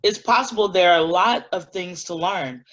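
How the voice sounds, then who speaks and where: An actress playing a defiant, principled animal-rights activist in a staged play reading, blunt and combative. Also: female voice, lowish voice, normal speed, fairly bright tone. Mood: firm, defensive. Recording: dull lo-fi sound.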